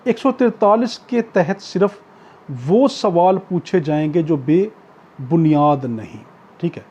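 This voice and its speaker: A man's voice: a little high, takes it slowly, darkish tone, breathy edge. A man with a Pakistani accent giving an explanatory law lecture, didactic, repeating and rephrasing his points. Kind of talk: explanatory law lecture, reading out provisions then paraphrasing. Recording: dull, muffled lo-fi recording.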